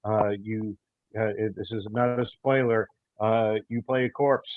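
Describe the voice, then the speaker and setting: A man in a casual panel chat, speaking as an audio theater producer in a halting, measured way. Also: on the low side, steady tone, a little hiss or hum. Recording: muffled, phone-like thin audio.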